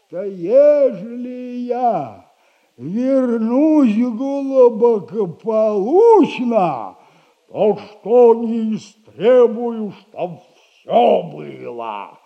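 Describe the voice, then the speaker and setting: A man's voice: high voice, creaky, warm tone, highs cut off, full character voice. An actor playing a boastful old retired soldier in a theatrical radio comedy, telling rambling, self-admiring tales in an old man's voice. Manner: drawn-out, rambling, self-admiring storytelling with repeated catchphrase.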